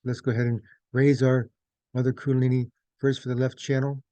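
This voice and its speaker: Mellow-voiced man guiding a meditation. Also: mid-range voice, level tone, dry recording. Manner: measured, gentle phrasing with pauses. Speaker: meditation guide. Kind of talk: guided meditation, slow and instructional.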